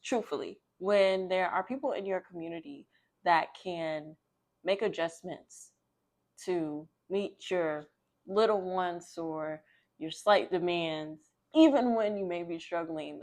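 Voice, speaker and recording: Chatty, lower-voiced woman hosting a read-aloud. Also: darkish tone. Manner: rambling, conversational asides. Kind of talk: chatty read-aloud of a children's picture book.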